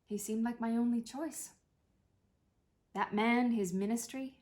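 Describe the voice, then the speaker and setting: A woman reading a play as a dying older mother, wry, tender and apologetic, with a gentle, reflective way of talking. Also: fairly high pitch, steady tone, measured pace, natural voice. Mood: tender regret.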